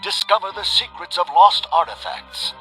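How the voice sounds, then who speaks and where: A man's voice: high-pitched, normal speed, bright, forceful tone, faint music behind, low-quality recording. A man playing a grandiose, theatrical announcer introducing an old-time pulp radio serial with suspense. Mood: grand, suspenseful.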